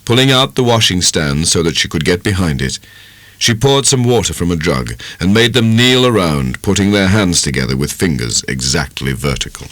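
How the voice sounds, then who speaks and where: A man giving an expressive, measured narration of a literary audiobook. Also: deep voice, no echo.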